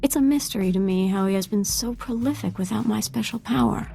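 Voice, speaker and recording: Woman acting as young female Sith apprentice, medium pitch, zealous, eager, intense, video game dialogue, faint music. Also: brisk, vocal fry, mellow, close to an everyday voice. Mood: eager, fervent.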